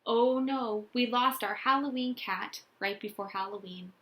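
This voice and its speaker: A woman's voice: fairly high pitch, measured pace, a bit bright. A woman reading a storybook as a nervous, whiny young boy who is easily spooked. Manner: wailing, whining.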